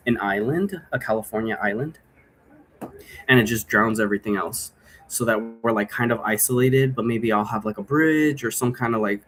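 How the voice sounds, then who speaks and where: A man's voice: medium pitch, a little hiss or hum. A man giving a casual, rambling explanation in an informal way, often checking for agreement. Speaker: game creator explaining rules.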